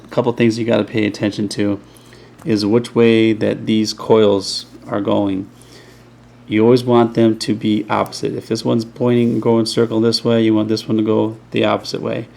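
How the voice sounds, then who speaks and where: A man's voice: fairly low pitch, vocal fry, mellow. A man casually explaining a speaker crossover build from behind the camera at an indoor workbench, rambling with many ums and restarts. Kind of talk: casual off-the-cuff tutorial. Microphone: camera mic, behind the camera.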